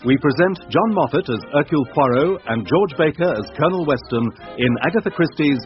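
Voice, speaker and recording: A man's voice: fairly high pitch, animated, a little fast. A man reading formal, scripted announcements as a radio announcer. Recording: dull radio recording over music. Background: faint music.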